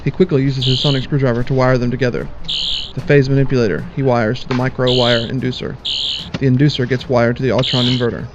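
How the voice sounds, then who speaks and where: Plain steady male narrator. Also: mid-range voice, fast talker, very noisy. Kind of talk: scripted narration. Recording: slightly muffled, over music.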